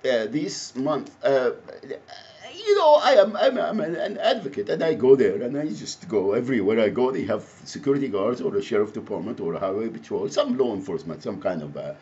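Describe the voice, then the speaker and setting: A man from Egypt with an Egyptian Arabic accent, speaking off the cuff in a halting, repetitive, rambling monologue. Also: mid-range voice, very animated, darkish tone. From Alexandria, Egypt. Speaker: activist, self-described advocate. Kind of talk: impromptu spoken monologue to camera. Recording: muffled audio with faint background noise.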